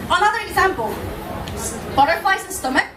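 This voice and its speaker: High-voiced Korean-accented woman speaking, echoey room. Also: expressive, fairly quick. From South Korea. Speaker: public speaker, language teacher. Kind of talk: informal live talk to an audience. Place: indoor venue in front of an audience. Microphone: camera at a distance. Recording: echoey room, distant camera mic, noisy.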